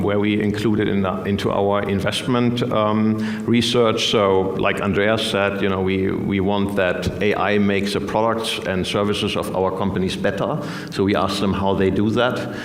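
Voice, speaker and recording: A man with a lowish voice gives a measured, unscripted answer on a conference stage, pausing on fillers and restarting phrases. The sound is roomy with a long reverb tail from the hall. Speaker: fund manager on a panel. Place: conference stage before an audience. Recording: echoey hall from a stage mic.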